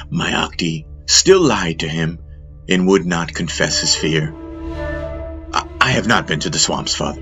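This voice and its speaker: A man narrating a fantasy audiobook as a dramatic storyteller, solemn and deliberate. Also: sits low.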